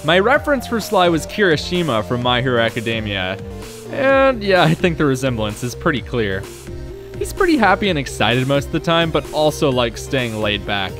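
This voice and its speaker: A man's voice: medium pitch, very animated, moderate pace, music in the background. A young man narrating a scripted vlog in a self-deprecating way. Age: young adult. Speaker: host, amateur game maker.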